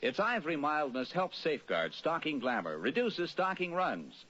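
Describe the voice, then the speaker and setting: A man with a husky voice delivering an old-time scripted radio sales pitch. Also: sing-song, moderate pace, pushed and bright. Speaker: commercial announcer. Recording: muffled old radio recording.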